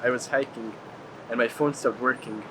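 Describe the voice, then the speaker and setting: Man, from Hungary, traveler interviewee, casual chat, filler-heavy rambling, medium pitch, noisy surroundings. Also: steady tone, moderate pace. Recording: clear ambient street noise.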